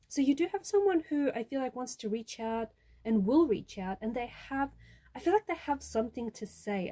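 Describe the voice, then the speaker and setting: A woman's voice: a little high, mellow. A woman giving an intuitive tarot reading straight to the viewer, restating each point in several ways for emphasis. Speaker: tarot reader.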